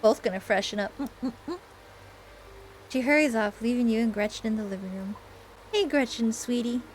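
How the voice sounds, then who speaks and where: A woman doing a chatty, expressive playthrough, reading a story game aloud and commenting as she goes. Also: a little high, brisk, slight background noise, low-quality recording. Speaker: gamer host reading a visual novel aloud.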